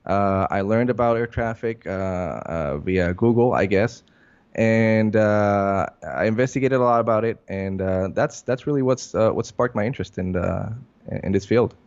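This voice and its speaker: A man with a lowish voice gives unscripted interview answers. He talks haltingly, feeling his way through sentences with many fillers. The sound is somewhat dull and muffled. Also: a little mellow, smooth. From Puerto Rico. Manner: halting, hesitant, filler-heavy phrasing. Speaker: interview guest, air traffic controller. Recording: muffled lo-fi recording.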